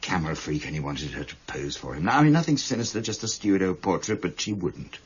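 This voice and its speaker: An actor playing an anxious, evasive academic in a radio crime drama, polite, hesitant and stammering. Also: male voice, low-pitched, sing-song, quick pace, husky, muffled recording, heavily acted. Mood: defensive, flustered.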